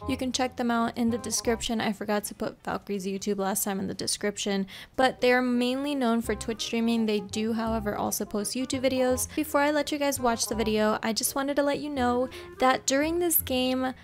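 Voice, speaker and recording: High-voiced young woman introducing her video. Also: brisk, hardly any pauses. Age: young adult. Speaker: gaming video creator. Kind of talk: casual video intro to camera. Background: music.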